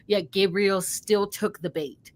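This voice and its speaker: A woman's voice: mid-range voice, fairly even intonation, takes it slowly. Woman chatting casually about a book. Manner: conversational, uses "like" and "you know" heavily. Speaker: book discussion panelist.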